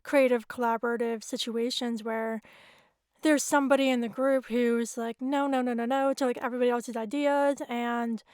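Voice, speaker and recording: A woman's voice: fairly high pitch, steady tone, normal speed, darkish tone, smooth, no echo, studio quality. A woman hosting a songwriting podcast, chatting with her guest in a friendly, agreeing way. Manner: friendly, agreeing, laughs often. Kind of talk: casual interview chat.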